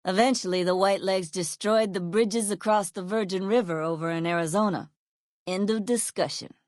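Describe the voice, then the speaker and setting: A woman's voice: mid-range voice, normal speed, darkish tone, no echo, studio quality, natural voice. A woman playing a foul-mouthed, sardonic ex-sheriff turned caravan guard in a video game, blunt and folksy in her speech. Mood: weary, matter-of-fact. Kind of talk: video game character voice lines.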